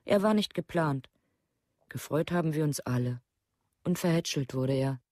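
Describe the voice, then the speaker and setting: A woman's voice: low voice, expressive, normal speed, dark tone, no echo, studio quality. An actress playing a grieving mother in a documentary radio drama, recalling things plainly and with restraint. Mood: restrained, wistful. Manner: plain, simple, restrained recollection. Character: grieving village mother remembering her youngest son.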